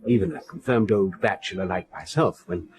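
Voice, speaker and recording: Refined, animated bachelor gentleman. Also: sits low, moderate pace. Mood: reflective, confiding. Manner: refined, expressive raconteur. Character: genteel, fussy English bachelor recounting a mystery. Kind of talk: radio drama dialogue and storytelling.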